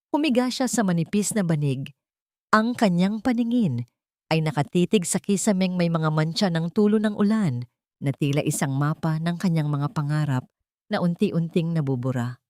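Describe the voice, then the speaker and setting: A woman's voice: fairly low pitch, a little mellow, no echo, clean studio sound. A woman reading a scripted, dramatic story as its narrator.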